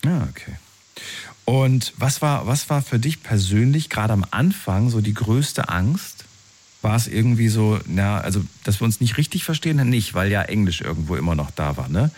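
A man's voice: fairly low pitch, animated, moderate pace, darkish tone. A man interviewing someone in a conversational, informal way, often adding little tag questions. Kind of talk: conversational interview about personal experiences.

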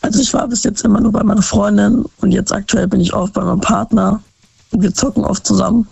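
A woman calls in from Baden-Württemberg, her voice hoarse and raspy from a cold, with heavy fry. She speaks high for her voice and often gropes for words. The phone line sounds dry and close. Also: fairly even intonation, quick pace, a little mellow, no room sound. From Baden-Württemberg, Germany. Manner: hesitant, searching for words. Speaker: caller. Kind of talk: casual phone-in chat.

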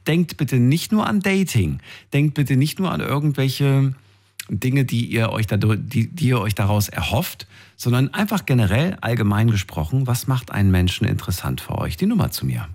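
A man's voice: mid-range voice, sing-song, normal speed, a little mellow. A man hosting an evening radio call-in show, asking callers friendly, probing questions. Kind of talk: casual call-in radio talk.

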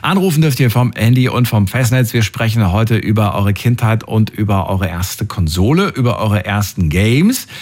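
Give me a man in his late thirties hosting a radio phone-in, with a lively, chatty, slightly low voice. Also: moderate pace, hardly any pauses. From Thuringia, Germany. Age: late 30s. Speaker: radio call-in host. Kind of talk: casual phone-in chat. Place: radio studio.

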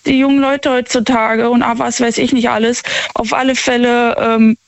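Halting young woman caller, dry sound. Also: on the high side, level tone, vocal fry, no room sound, dull audio. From Düsseldorf. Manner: halting, repeats words, stammers when worked up. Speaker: caller to a radio show. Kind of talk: casual, opinionated phone talk. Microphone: phone.

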